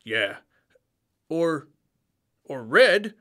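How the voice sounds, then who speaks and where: A man's voice: fairly high pitch, sing-song, drawn-out words, fairly bright tone, heavily acted. A man voicing an indecisive, childlike, friendly monster puppet for a kids' show, exclaiming and drawing out words as he dithers. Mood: torn, dithering.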